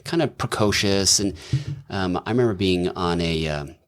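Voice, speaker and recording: Deep-voiced man, thoughtful and rambling. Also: moderate pace, clear, resonant tone. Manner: thoughtful, rambling, many fillers and restarts. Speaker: doctor and businessman, podcast guest. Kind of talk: casual conversational interview.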